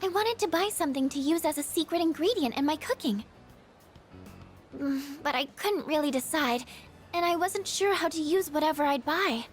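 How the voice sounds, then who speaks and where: Shy teenage game heroine, high voice, gentle, hesitant, apologetic, self-doubting, background music. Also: female voice, animated, normal speed. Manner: hesitant, apologetic, soft-spoken. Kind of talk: English video game dub acting.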